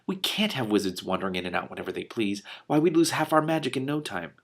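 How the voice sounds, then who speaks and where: A man voicing an indignant, forceful female silver dragon from a storybook, speaking with urgency. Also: mid-range voice, big pitch swings, fast, gravelly.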